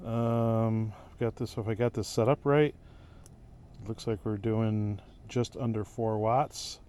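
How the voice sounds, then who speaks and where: A man's voice: on the low side, unhurried, clear, resonant tone. A man giving casual, informal vlog narration, explaining gear step by step in a plainspoken way. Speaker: vlogger testing CB radio gear. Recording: steady background noise, vehicle sounds.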